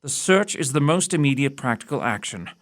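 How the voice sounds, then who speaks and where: An actor playing a keen, commanding male detective in a period audio mystery, speaking in a measured, formal, authoritative way. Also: medium pitch, lively intonation, fairly bright tone, lightly acted. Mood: cool, probing.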